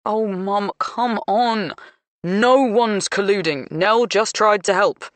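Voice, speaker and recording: Harried young man, soft and placating, voiced by a woman narrator. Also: medium pitch, takes it slowly, no echo, pitched above the performer's own voice, close to an everyday voice. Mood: pleading.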